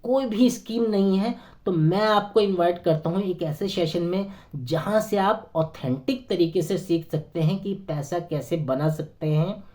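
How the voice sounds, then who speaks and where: Hoarse man giving an earnest sales pitch. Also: measured pace, a little mellow. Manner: earnest, persuasive, many hesitant fillers. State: hoarse, sore throat. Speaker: network marketing consultant. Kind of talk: persuasive motivational talk to camera.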